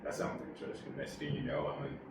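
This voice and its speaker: A man in his forties from Puerto Rico, an army veteran telling his story in a relaxed interview, halting and full of fillers. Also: heavy reverb, distant mic, background noise. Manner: rambling, halting, many fillers.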